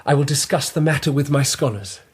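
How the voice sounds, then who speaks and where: An actor playing a dreamy, curious emperor in a radio drama, speaking in a measured, stately, wondering way. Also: male voice, a little high, husky, no echo. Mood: intent, fascinated.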